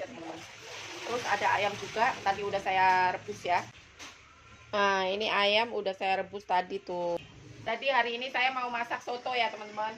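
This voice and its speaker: A woman in her thirties with a nasal, stuffed-up voice from a cold, hosting a casual home cooking vlog and chatting to her viewers as friends. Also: medium pitch. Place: home kitchen. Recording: noisy home kitchen recording.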